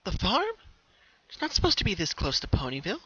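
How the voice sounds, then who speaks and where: A young cartoon mare played by a male reader, pitched well above a man's talk. The voice is bright and hesitant, full of anxious wonder, on a slightly muffled recording. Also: wildly swooping pitch, fairly quick, slightly rough, full character voice. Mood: surprised. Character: cheerful young female cartoon pony, shocked and anxious.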